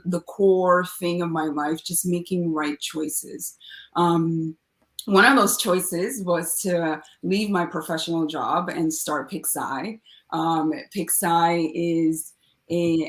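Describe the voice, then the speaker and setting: A woman's voice: fairly low pitch, reverberant, low-quality recording. A woman from the Dominican Republic, a startup founder, speaking as a podcast guest with many fillers and restarts. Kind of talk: casual interview talk.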